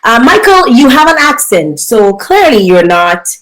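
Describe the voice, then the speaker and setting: A woman's voice: fairly high pitch, big pitch swings, a little slow, a bit bright, rough recording. A woman from Dominica with a Dominican accent, hosting a live interview, giggly and reading viewer comments aloud. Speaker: interview host.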